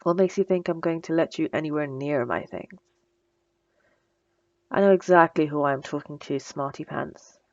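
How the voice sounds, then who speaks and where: A woman playing a bossy, sarcastic supervillain with a soft spot, in a teasing, dry, conversational ASMR roleplay. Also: low voice, moderate pace, no echo, dull audio. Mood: bossy, sardonic. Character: female supervillain, bossy and sarcastic, secretly flustered by compliments. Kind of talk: ASMR roleplay audio drama.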